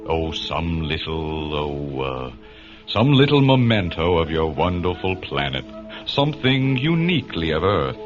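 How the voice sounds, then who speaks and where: Slow, suave off-world delegate, very deep. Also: male voice, big pitch swings, exaggerated voice. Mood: smooth, coaxing. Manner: suave, hesitant, hinting. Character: genteel off-world trade delegate, courting favours on Earth. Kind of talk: radio drama acting. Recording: dull vintage radio sound with music behind.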